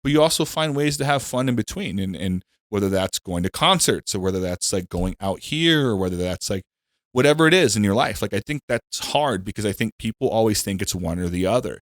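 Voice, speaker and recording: A man's voice: fairly low pitch, expressive, brisk, no echo, clean studio sound. A man in his thirties hosting a casual comedy podcast, joking loosely and swearing now and then. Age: 30s. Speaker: podcast host.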